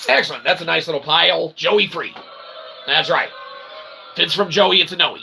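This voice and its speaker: A man hosting a philosophy monologue, speaking in wordy pseudo-academic phrases with slangy asides and a gravelly, creaky voice. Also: a little high, moderate pace, background noise. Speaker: host of an independent philosophy show.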